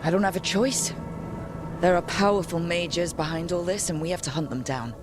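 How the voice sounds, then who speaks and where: An actress playing the determined leader of a hunting crew, speaking firmly and urgently. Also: female voice, lowish voice, normal speed, music in the background, close to an everyday voice. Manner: firm, urgent. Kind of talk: video game cutscene acting.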